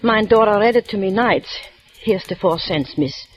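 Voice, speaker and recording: Actress playing a flustered immigrant library patron. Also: female voice, mid-range voice, expressive, normal speed, muffled recording, close to an everyday voice. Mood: pleading. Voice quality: nonstandard grammar, hesitant with many uhs. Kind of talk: 1940s radio acting. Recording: old radio broadcast with faint hiss.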